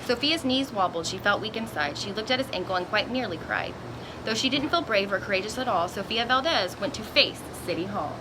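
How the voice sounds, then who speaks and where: A woman reading a children's picture book aloud in an expressive storytelling manner, with little asides to young listeners. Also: medium pitch, fairly quick, noisy background. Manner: expressive, acts out exclamations and dialogue.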